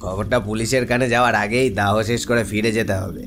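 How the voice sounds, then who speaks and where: A man's voice: lowish voice, steady tone, fast talker, a bit bright, soft background music. A man voicing a shady, nervous corpse burner in a horror cartoon. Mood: anxious. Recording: noisy outdoor-style ambience under voice.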